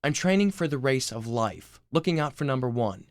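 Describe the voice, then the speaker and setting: Man playing a blustering middle-aged dad, animated pitch. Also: normal speed, dry recording, studio quality, lightly acted. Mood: self-absorbed. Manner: boastful, blustering, exasperated. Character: brash, self-obsessed fitness-mad father in mid-forties.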